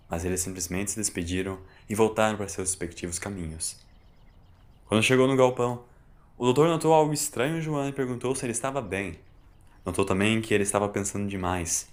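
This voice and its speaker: A man with a Brazilian accent reading a scripted, dramatic story as its narrator. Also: fairly low pitch. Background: music.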